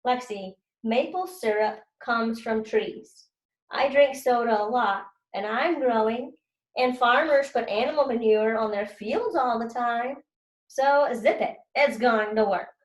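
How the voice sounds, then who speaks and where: A woman's voice: fairly high pitch, unhurried, echoey room, close to an everyday voice, poor audio. A woman voicing a cocky, mischievous schoolboy narrator in a children's storybook, boastful and informal. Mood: defiant.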